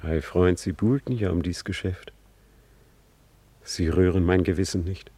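A man acting a brooding, ironic young prince in a classical radio drama, with measured, articulate, dramatic delivery. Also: low voice, steady tone, warm tone, breathy edge, no room sound. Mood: cool, resolute.

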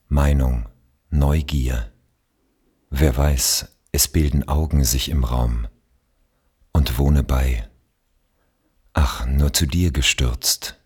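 Very deep, husky man reciting poetry slowly. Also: a little mellow. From Austria. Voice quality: husky, breathy. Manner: measured, with long pauses between phrases. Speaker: speaker reading poetry. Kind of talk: slow literary poetry recitation.

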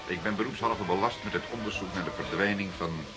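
An actor playing a seasoned, persistent police detective in a radio play, speaking deliberately and with dry formality. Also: male voice, low-pitched, over a noisy crowd, close to an everyday voice. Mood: drily menacing. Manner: deliberate, dryly formal, spells out words. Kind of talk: Dutch radio play acting.

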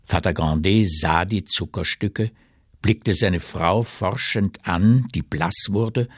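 Low, unhurried male narrator. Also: no echo, studio quality. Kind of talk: literary radio drama narration.

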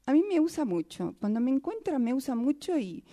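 A woman voicing a weary, overused sock in a halting, repetitive, plaintive radio-theatre monologue. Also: high voice, big pitch swings, mellow. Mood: aggrieved. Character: weary, overused female sock complaining about its owner.

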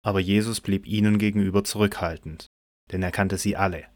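A man narrating a scripture audio drama in a measured, solemn manner from a script. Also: deep voice, steady tone, no echo, clean studio sound.

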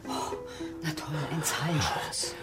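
A woman's voice: deep voice, animated, takes it slowly, over music. An actress playing a cool, shrewd, wry female accomplice in a German radio drama. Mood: wry, hushed.

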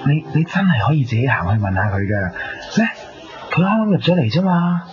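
Coy young charmer, Hong Kong Cantonese, teasing, pitch moving a lot, muffled old radio drama recording. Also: male voice, sing-song, a little fast. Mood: coaxing. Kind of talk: 1990s radio drama dialogue. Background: prominent music.

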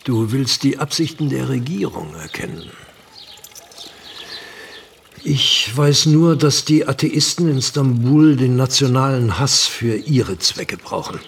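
An actor playing a frail, courteous old Turkish gentleman in a radio play, speaking formally and with weight, a pious and grave sage. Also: medium pitch, expressive, creaky, breathy edge, far from the mic, exaggerated voice. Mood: grave, warning. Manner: slow, deliberate, formal, weighty.

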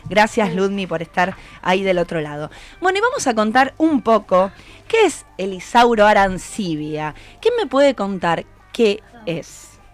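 A woman's voice: medium pitch, very animated, a little slow, faint music behind. An Argentine woman hosting a live conversational radio interview, echoing back answers in an encouraging way. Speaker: radio host. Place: radio studio with a group. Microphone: studio microphone.

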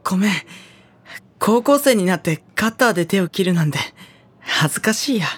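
A performer playing a gentle, hesitant high-school boy who narrates his own story in a Japanese audio drama, with a husky, breathy voice. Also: animated, normal speed, dry recording. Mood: hesitant, awkward.

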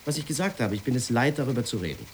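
An actor playing a weary local journalist, brusque, exasperated and dismissive, in a German radio play. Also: male voice, mid-range voice, very animated, very fast, slight background noise.

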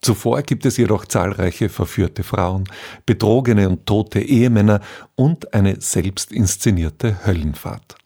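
Low, husky male radio presenter. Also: a little slow. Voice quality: husky, mellow. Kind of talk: scripted introduction to a radio play.

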